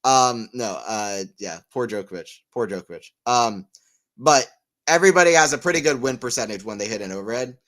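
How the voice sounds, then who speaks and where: A man giving live tennis play-by-play on a stream, chatting with viewers and getting excited on big points. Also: medium pitch, brisk. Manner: excitable on rallies, rambling and self-mocking between points. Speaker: tennis watchalong commentator. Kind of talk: live play-by-play and casual chat.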